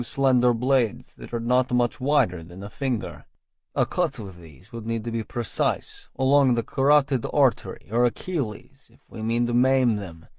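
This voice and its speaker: A man's voice: medium pitch, no room sound, muffled recording. A man reading a scripted first-person novel as an audiobook narrator.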